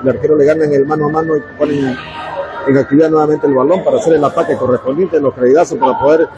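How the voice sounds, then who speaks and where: A man's voice: on the high side, steady tone, racing, lo-fi sound, over music. A man with an eastern Bolivian Spanish accent giving live radio commentary on a futsal match, talking conversationally to listeners. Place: indoor coliseum sports court, from the stands. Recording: very noisy live sports hall. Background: loud ambient noise of a futsal match.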